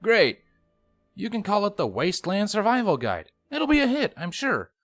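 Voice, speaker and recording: A man's voice: sits high, wildly swooping pitch, moderate pace, fairly bright tone, dry recording, soft background music, pitched above the performer's own voice, heavily acted. A man voicing a wisecracking, grumbling pony sergeant in a story, sarcastic, whiny and emphatic.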